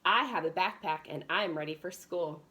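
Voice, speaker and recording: A woman's voice: lowish voice, moderate pace, sharp tone. A woman voicing an eager, persistent young boy pig in a children's storybook, earnest and insistent. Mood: earnest, hopeful. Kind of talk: children's storybook read-aloud.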